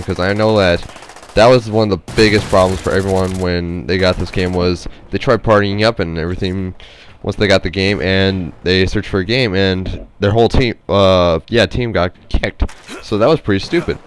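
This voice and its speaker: Low voiced guy doing rambly game commentary, stuffed up nose, sounds sick, some noise and game gunfire behind him. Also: steady tone, normal speed. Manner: rambling, hesitant, trailing off. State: sick with a stuffy head cold. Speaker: gameplay commentator. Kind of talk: casual unscripted game commentary.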